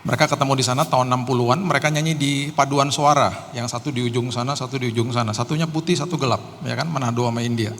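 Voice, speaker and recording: A man's voice: mid-range voice, steady tone, fast, hardly any pauses, a bit bright. Middle-aged preacher, man in reverberant hall. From Jakarta, Indonesia. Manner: conversational, self-deprecating humour. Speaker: guest pastor. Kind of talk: sermon with casual personal storytelling. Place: church hall during live service. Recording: reverberant hall, faint background noise.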